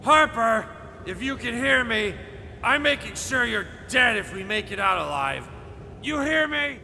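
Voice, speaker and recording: A man's voice: very high voice, lively intonation, a little slow, creaky, sharp tone, slight background noise, heavily acted. A man playing a sarcastic, reluctant teenage adventurer in an amateur script read, exasperated and argumentative. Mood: furious. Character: grumbling, sarcastic, reluctant male teen adventurer.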